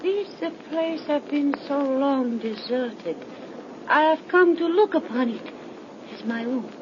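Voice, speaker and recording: An actress playing a mysterious, coy young lady with genteel, halting and theatrical formal speech in a 1930s radio drama. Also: sits high, lively intonation. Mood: wistful, gracious. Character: mysterious young French-descended beauty, coy and wistful. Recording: noisy, muffled 1930s radio recording.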